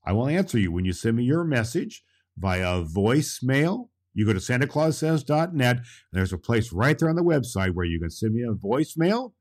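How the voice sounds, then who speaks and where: A jolly old Santa Claus, played by a man, hosts a children's storytelling show. He speaks straight to the kids in a theatrical, emphatic way, with a very animated, mid-pitched voice. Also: moderate pace. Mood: jolly, earnest.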